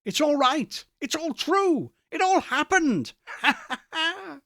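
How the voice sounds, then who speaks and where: A man's voice: very high-pitched, big pitch swings, takes it slowly, creaky, pushed and bright, no room sound, studio quality, pitched above the performer's own voice, heavily acted. A man voicing an overjoyed reformed old miser in a storybook reading, exclamatory and full of childlike glee. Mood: giddy joy. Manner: exclamatory, gleeful, whooping.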